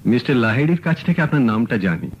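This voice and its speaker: A man playing a sharp, composed private detective in an old radio drama, speaking calmly and politely. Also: medium pitch, quick pace, slightly rough. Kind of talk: 1970s radio drama acting. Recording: dull lo-fi radio recording.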